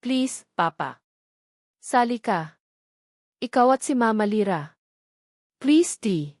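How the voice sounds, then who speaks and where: A woman's voice: a little high, animated, takes it slowly, no room sound. Casting: adult woman playing young daughter, sweet and pleading, audio story, dry recording. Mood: tearful pleading. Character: pleading little girl, affectionate daughter.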